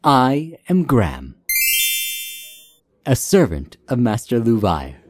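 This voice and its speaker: A man's voice: mid-range voice, wildly swooping pitch, slow, soft background music. A man voicing a courtly talking book, a loyal magical servant, with formal and grandly polite speech. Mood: proudly courteous. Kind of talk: fantasy character acting.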